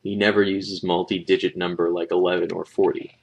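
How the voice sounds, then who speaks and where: A man's voice: deep voice, flat delivery, a little fast, creaky, a little hiss or hum. A man reading a scripted creepypasta as a horror story narrator.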